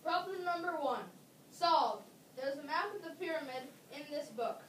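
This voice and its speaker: A female reader playing a resourceful, excitable schoolboy in an amateur readers' theater performance. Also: high-pitched, normal speed. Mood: determined. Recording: distant mic in echoey, slightly noisy room.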